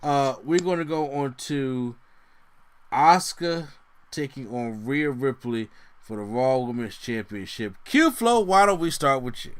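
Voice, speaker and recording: A man co-hosting a casual podcast, talking through wrestling predictions in an animated way, often restarting his sentences and jumping up in pitch. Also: a little slow, smooth. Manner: animated, lots of restarts and sudden pitch jumps. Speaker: podcast co-host.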